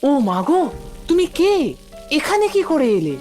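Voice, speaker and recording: Cartoon schoolboy, played by a woman: high, lively, wheedling and excitable, over prominent music. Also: unhurried, full character voice. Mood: startled. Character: mischievous, eager young schoolboy who loves mangoes. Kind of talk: animated cartoon voice acting. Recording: music behind the voice.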